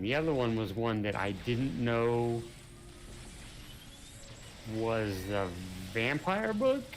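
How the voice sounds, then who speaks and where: A man chatting casually while playing a co-op game, rambling and hesitant with many fillers. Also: medium pitch. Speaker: gamer. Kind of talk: casual gaming chat. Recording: noisy game audio behind.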